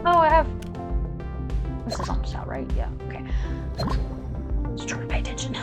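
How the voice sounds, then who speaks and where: Young woman, casual gaming chatter, rambling, slightly high pitch, loud game music behind. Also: wildly swooping pitch, normal speed. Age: young adult. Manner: rambling, chatty, lots of "like" and trailing asides. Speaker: gaming channel host. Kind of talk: casual chat while playing.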